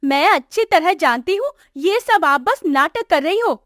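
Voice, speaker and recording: Woman playing haughty, high-pitched scolding young daughter-in-law. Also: animated, measured pace, fairly bright tone, dry recording, studio quality, exaggerated voice. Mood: contemptuous, irritated. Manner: scornful, complaining. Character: arrogant, scolding young working daughter-in-law with a sharp tongue. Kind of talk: acted moral story dialogue for animation.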